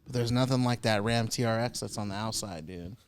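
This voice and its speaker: A man's voice: lowish voice, brisk, clean tone. A man hosting a podcast, asking questions in a chatty, agreeable way. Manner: chatty, agreeable, many "right"s. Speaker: podcast host. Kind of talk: casual podcast interview.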